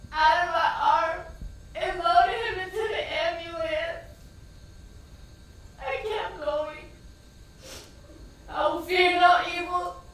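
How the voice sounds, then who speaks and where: A woman's voice: extremely high pitch, recorded from a distance, full character voice. An actress playing a grieving, devout woman in an emotional, impassioned dramatic stage monologue. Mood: desperate pleading. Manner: emotional, impassioned delivery, swinging from hushed to crying out. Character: grieving, pleading, devout woman recounting a shooting. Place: theatre stage, distant microphone. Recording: echoey stage recording from afar, faint noise.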